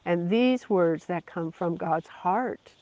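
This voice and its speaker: A preacher giving a slow, earnest devotional sermon, repeating phrases for emphasis. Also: mid-range voice, darkish tone, no echo. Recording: dull lo-fi recording.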